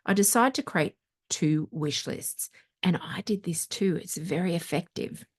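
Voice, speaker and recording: A woman with a slightly low voice reads from a book as a storytime host. Her delivery is expressive and conversational, with wry turns on the questions she reads. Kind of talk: expressive book reading with casual asides.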